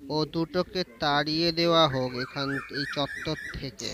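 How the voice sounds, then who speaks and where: A scheming palace man acted by a man from an audio storybook. He talks in hushed, suspicious asides, with a fairly high male voice. Clear noise sits on the recording. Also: fairly even intonation, unhurried, lightly acted. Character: scheming male palace folk, wary king and informer. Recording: clearly noisy recording with clicks.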